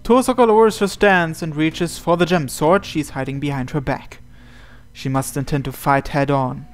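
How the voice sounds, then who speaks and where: Higher-pitched man narrating a story. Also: moderate pace. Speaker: game player reading aloud. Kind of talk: read-aloud first-person story narration. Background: soft game music.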